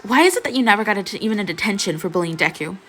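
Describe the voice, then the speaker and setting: A woman's voice: medium pitch, lively intonation, very fast, fairly bright tone, soft background music. A woman voicing an indignant, confrontational teenage girl who argues in an accusing, insistent way, as in an audiobook drama.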